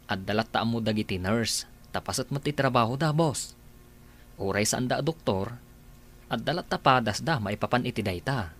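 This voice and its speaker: A man's voice: medium pitch, lively intonation, normal speed, fairly bright tone. A man playing a loyal, deferential farmhand who speaks earnestly to his boss, in a radio drama. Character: loyal farmhand, uncle figure to the boy.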